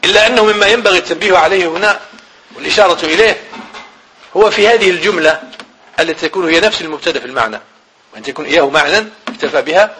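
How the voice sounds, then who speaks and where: A man's voice: high voice, racing, pushed and bright, slight background noise, poor audio. A man with a Moroccan Arabic accent teaching a grammar lesson. He repeats phrases, asks the class questions and then answers them himself.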